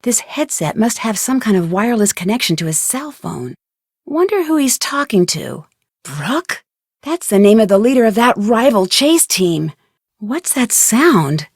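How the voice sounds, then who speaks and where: A woman's voice: on the high side, big pitch swings, unhurried, husky, no room sound, clean studio sound, exaggerated voice. A woman voicing a plucky, curious young female detective in a video game, speaking earnestly and conversationally as if thinking aloud. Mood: curious, puzzled. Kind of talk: scripted video game voice acting.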